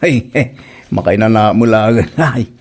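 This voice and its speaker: Man playing a mellow grumbling Ilocano husband. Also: lowish voice, normal speed. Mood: fussy, grumbling. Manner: exclamatory, repeating short interjections. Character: grumbling, fussy husband and protective father. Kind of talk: comedy radio drama acting.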